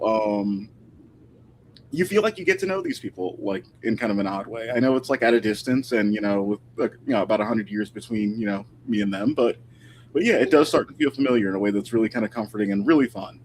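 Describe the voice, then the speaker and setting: A man speaking as a guest historian in a casual interview, informal and thoughtful, with halting phrasing. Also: lowish voice, lively intonation, a little hiss or hum.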